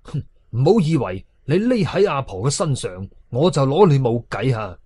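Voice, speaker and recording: A man's voice: mid-range voice, sing-song, moderate pace, dark tone, husky, dry recording. A man voicing a stern, angry Taoist exorcist with a commanding, declamatory manner in a horror audio story. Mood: furious.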